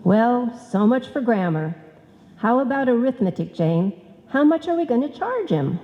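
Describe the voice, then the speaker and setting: A woman's voice: on the high side, sing-song, moderate pace, mellow, dull audio. A woman playing a skeptical, sardonic female business partner in a live old-time radio comedy. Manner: sardonic, practical. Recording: reverberant hall stage recording.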